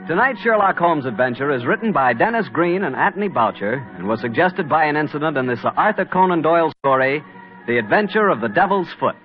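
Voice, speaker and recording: A male announcer with a smooth, folksy, salesman-like manner, doing 1940s radio announcing. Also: on the high side, big pitch swings, fairly quick, faint music behind. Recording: muffled 1940s radio broadcast.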